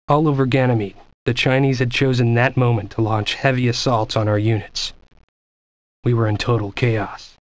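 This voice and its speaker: A man's voice: medium pitch, no echo, lightly acted. An actor playing a weary soldier, narrating his platoon's war in retrospect in a scripted game cutscene. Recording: dull lo-fi game audio.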